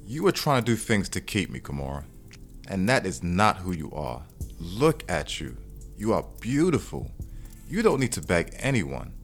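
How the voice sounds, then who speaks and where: A young single lover in an audio drama, brash and slangy yet caring at heart. His low voice moves around expressively over faint music. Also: very animated, normal speed, gravelly, exaggerated voice. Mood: agitated, earnest. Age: young adult. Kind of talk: scripted audio drama acting and first-person narration. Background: background music.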